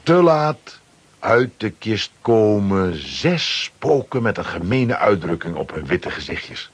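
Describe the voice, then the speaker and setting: A man narrates a children's adventure in a fairly low, heavily rasping voice. His dramatic storytelling is very animated, and the recording is close and dry. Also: slow, no echo.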